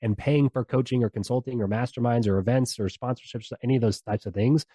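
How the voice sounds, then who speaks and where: A man talking as a podcast guest and founder, animated and persuasive, with loose slangy phrasing. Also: medium pitch, quick pace, no room sound. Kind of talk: casual interview talk.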